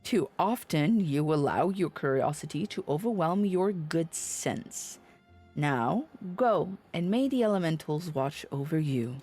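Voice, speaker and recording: A woman's voice: deep voice, expressive, measured pace, mellow, husky, faint music behind, exaggerated voice. A woman voicing a stern, formal guildmaster in a fantasy game, speaking solemnly in archaic phrasing. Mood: solemn, admonishing. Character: stern, formal guildmaster of healers, lecturing a pupil.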